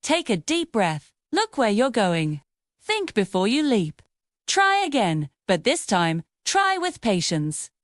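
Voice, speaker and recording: A woman voicing a cheerful, wise female parrot who gives gentle, patient guidance in a children's storybook. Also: a little high, big pitch swings, takes it slowly, no echo, studio quality. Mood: encouraging.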